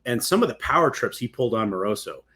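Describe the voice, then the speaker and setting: A man's voice: medium pitch, very animated, fast, bright, forceful tone, husky. A man co-hosting a podcast in casual conversation, agreeable and fond of long, winding explanations. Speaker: podcast co-host.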